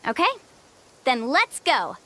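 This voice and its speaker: A woman's voice: high voice, sing-song, takes it slowly, background noise, heavily acted. A woman playing a confident, friendly young adventure guide in a game, encouraging and earnest. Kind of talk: animated game voice acting.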